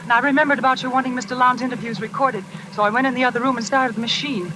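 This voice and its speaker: An actress playing a brisk, excitable young secretary in a 1940s radio drama. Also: female voice, on the high side, close to an everyday voice. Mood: earnest, breathless. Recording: noisy old 1940s radio recording.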